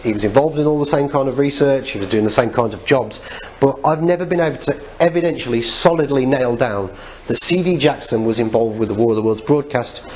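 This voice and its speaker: A man's voice: medium pitch, darkish tone, rough recording. A man giving an informal public lecture, speaking in an opinionated way with rhetorical questions. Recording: noticeable background noise, live talk recording.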